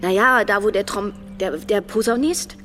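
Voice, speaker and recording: A woman's voice: medium pitch, normal speed, natural voice. Actress as blunt, frayed woman in her forties. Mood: restless, musing. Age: 40s. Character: Martha, overwrought, blunt, sardonic woman around forty. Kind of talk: naturalistic radio drama dialogue. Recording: loud music behind. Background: music.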